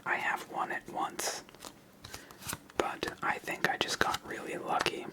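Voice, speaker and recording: A person whispering ASMR game commentary close to the microphone, explaining each step as they go. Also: a little slow. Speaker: host playing a solitaire card game.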